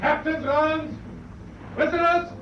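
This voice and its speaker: An actor playing an officious German prison guard in a radio drama, barking military orders. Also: male voice, very high-pitched, fairly even intonation, slow. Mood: harsh, commanding. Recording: noisy, dull radio drama recording.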